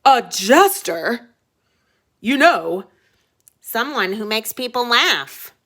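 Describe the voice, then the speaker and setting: A woman's voice: fairly high pitch, sing-song, slow, a bit bright, voice pushed up, exaggerated voice. Woman acting out a stern, blustering storybook king. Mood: stern, indignant. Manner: emphatic, roaring. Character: stern, blustering king, father scolding his son. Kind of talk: children's storybook read-aloud.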